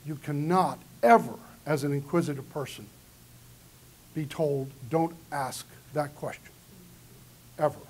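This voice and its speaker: A man's voice: a little high, fairly even intonation, a little slow, pauses often, a little mellow, a little hiss or hum. A man giving a conference keynote in a large hall, lecturing earnestly and taking audience questions. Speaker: keynote speaker. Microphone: far camera in the audience.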